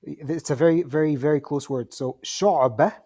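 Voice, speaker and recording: A man's voice: a little high, fast talker, warm tone. A man whose first language is Arabic, debating casually and politely, often stammering and repeating words as he talks. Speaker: discussion participant in an audio chatroom.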